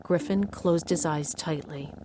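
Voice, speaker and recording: Lowish-voiced resolute storytelling mother. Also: unhurried, background noise. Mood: measured suspense. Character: resolute, stealthy mother and doctor narrating her rescue. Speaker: narrator and lead actor. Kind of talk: scripted audio drama narration.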